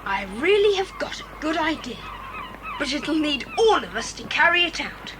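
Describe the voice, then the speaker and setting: Bold British boy adventurer, very high-pitched. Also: sing-song, normal speed. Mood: planning, confident. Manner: decisive, crisp commanding delivery. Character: bold, resourceful young boy adventurer, taking charge. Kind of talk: acted children's audiobook dramatisation. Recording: noisy old cassette recording.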